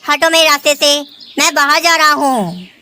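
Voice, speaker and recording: Casting: actor as male cartoon sparrow, drunken bully, domineering, rude, forceful delivery. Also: high voice, expressive, moderate pace. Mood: angry, defiant. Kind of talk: Hindi children's cartoon dialogue.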